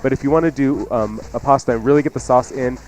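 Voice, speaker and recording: A man's voice: medium pitch, fast, darkish tone, soft background music, rough recording. A man who is a chef and radio co-host, giving casual cooking advice.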